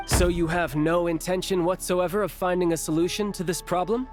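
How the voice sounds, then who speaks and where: A man's voice: high voice, fairly even intonation, brisk, music in the background, close to an everyday voice. A man voicing a confident young military representative in a video game, debating in a formal, pointed way. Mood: challenging. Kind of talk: video game character acting.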